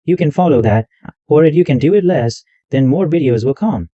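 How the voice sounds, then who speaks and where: A man hosting a screen-recorded software tutorial, narrating every click step by step in loose, repetitive wording. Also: fairly high pitch, expressive, fairly quick, no room sound. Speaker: tutorial host. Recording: dull lo-fi dry mic sound.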